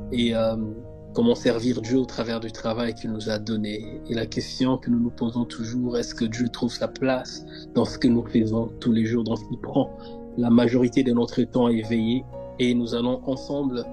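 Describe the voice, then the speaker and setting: A man's voice: mid-range voice, warm tone. A man hosting a faith podcast, speaking hesitantly with frequent fillers and repeated words. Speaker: podcast host.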